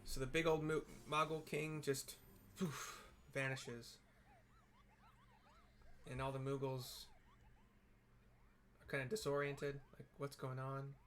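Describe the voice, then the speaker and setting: A man's voice: mid-range voice, little pitch movement, slow pace, pauses often, fairly bright tone, slight background noise. A man giving casual, informal live commentary on his own video game playthrough, thinking aloud as he goes. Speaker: gamer narrating own playthrough.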